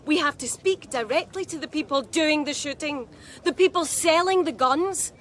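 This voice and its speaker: A woman's voice: sits high, moderate pace, a bit bright, slight background noise. An actress playing a grieving young woman making an impassioned speech at a rally in a radio drama. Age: young adult.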